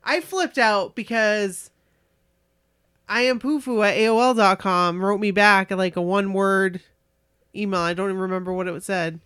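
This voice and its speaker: A woman's voice: mid-range voice, normal speed, clean tone, no echo, clean studio sound. A woman co-hosting a podcast, casually chatting and reading stories aloud in a giggly, gushing way. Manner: giggly, gushing, reacts excitedly. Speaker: podcast co-host.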